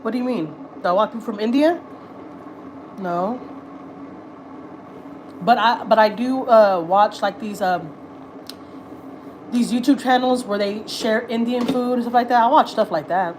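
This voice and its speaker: A woman's voice: on the high side, a little slow, pauses often, noisy background. A woman, hosting a casual livestream and rambling through frank slang and fillers. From Houston, Texas. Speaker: livestream host. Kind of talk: casual livestream chat, reading viewer comments. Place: at home.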